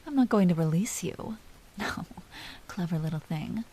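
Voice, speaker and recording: A woman playing an aristocratic vampire duchess in a roleplay audio drama, commanding and teasing yet secretly tender, often hushed and confiding. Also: fairly low pitch, expressive, measured pace, breathy edge, full character voice. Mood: teasing, possessive.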